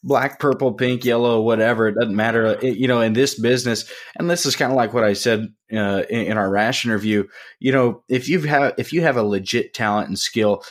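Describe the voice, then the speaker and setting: A man hosting a podcast interview, with a loud, deep, growly voice and a casual, rambling way of talking. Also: fairly quick. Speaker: podcast host. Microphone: studio podcast mic.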